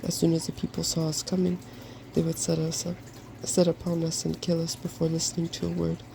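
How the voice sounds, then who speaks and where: Softspoken reader as a gloomy, creaky old hippogriff. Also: mid-range voice, completely flat delivery, a little fast, lightly acted. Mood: thoughtful. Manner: plaintive, hesitant. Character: weak, hungry old hippogriff, gloomy and doubtful. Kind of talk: fairy tale storybook reading. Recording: clearly noisy background.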